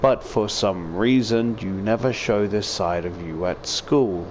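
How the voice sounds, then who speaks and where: A man voicing a shy, earnest female transfer student in a visual novel, reading her letter haltingly and sincerely. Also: fairly low pitch, darkish tone, a little hiss or hum, lightly acted. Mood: earnest, confessional.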